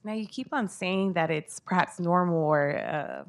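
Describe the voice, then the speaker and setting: A woman's voice: lowish voice, a little slow. A woman from Northern Virginia with an American accent, moderating a book event in casual, anecdotal conversation. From Northern Virginia, United States. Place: bookstore event before an audience.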